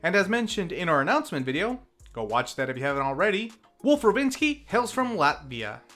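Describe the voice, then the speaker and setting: Animated host narrating a scripted review. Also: medium pitch, fairly quick, soft background music. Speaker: host of a film review series.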